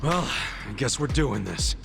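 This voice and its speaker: An actor playing an earnest, stubborn, cheeky yakuza hero in an English game dub, casual and plain-spoken. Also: male voice, mid-range voice, big pitch swings, unhurried, gravelly, over music. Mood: defiant, pleading. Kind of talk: English video game voice acting.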